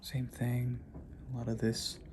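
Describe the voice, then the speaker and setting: A calm, focused podiatrist from Puerto Rico, quietly explaining as he treats a patient in his clinic. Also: mid-range voice, a little slow. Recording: noisy clinic room.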